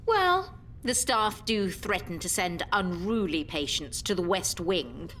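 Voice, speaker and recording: An actress playing a droll, complaining old care-home resident with a theatrical manner in an audio drama. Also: female voice, lowish voice, lively intonation, a little slow, fairly bright tone, slight background noise. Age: older.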